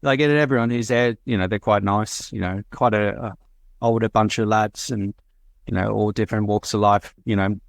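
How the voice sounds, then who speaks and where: A man's voice: on the low side, fairly even intonation, moderate pace, creaky, no room sound, studio quality. A man co-hosting a podcast, chatting casually and rambling with lots of fillers. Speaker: podcast co-host. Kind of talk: casual chat.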